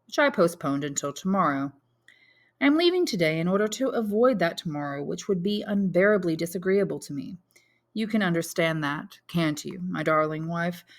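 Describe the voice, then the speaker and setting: A woman's voice: on the low side, a bit bright. A woman reading an audiobook, voicing a bitter banker husband in a formal, sardonic, pointed manner. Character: bitter, sarcastic banker husband writing farewell letter.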